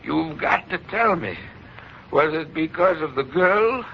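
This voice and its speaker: Scheming radio-play killer, higher male pitch, theatrical, muffled hissy 1940s recording. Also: animated, creaky. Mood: baffled, insistent. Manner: deliberate, halting, theatrical. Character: cold scheming male murderer, baffled and obsessive. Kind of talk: 1940s radio thriller acting.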